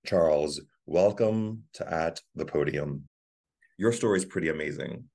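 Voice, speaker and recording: A man hosting an interview podcast who asks halting, conversational questions with restarts. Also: very deep voice, gravelly, a little mellow. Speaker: podcast host.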